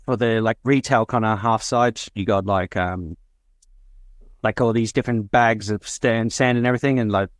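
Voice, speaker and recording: Laid-back rambling man, deep. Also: steady tone, normal speed, no room sound, clean studio sound. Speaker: podcast co-host. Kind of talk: casual chat.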